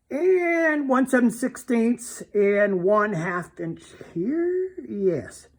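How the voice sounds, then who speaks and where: Casting: male DIY demonstrator, informal and plainspoken, talking through a hands-on mechanical job as he works. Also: high voice. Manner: plainspoken, informal, thinking aloud while handling parts. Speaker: DIY car repair demonstrator. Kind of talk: casual hands-on repair demonstration.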